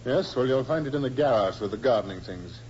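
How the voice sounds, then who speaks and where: An actor playing a polite, distracted gentleman accused of a crime in a 1950s radio drama, hesitant and resigned. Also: medium pitch, expressive, quick pace, natural voice. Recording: muffled, hissy old radio recording.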